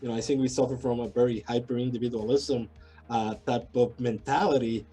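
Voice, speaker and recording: A man's voice: medium pitch, steady tone, brisk, warm tone, slightly rough, soft background music. A middle-aged man from Puerto Rico, a sociology professor talking as a guest in a casual interview, thoughtful and hesitant with many restarts.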